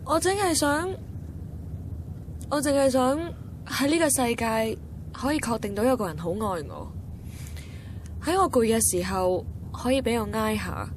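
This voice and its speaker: A woman's voice: a little high, expressive, measured pace, long pauses, a little hiss or hum. An actress playing a heartbroken, tipsy young woman with a Hong Kong Cantonese accent, petulant and emotional, in a radio drama. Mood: wistful. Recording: music behind the dialogue.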